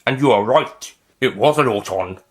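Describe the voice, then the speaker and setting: A man's voice: mid-range voice, moderate pace, natural voice. A man voicing an assured, commanding male time-travelling adventurer in audiobook dialogue. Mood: calm, assured.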